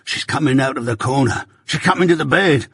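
A man's voice: mid-range voice, normal speed, a bit bright, breathy edge, no room sound, studio quality. A man voicing a dying, ghost-haunted male convict who raves in frightened rustic dialect. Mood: raving terror. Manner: terrified, raving pleas in rustic dialect.

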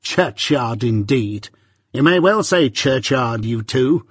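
A man voicing a shrill, scolding blacksmith's wife who talks in a sharp, loud, hectoring way. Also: lively intonation, slow, husky, no room sound, clean studio sound.

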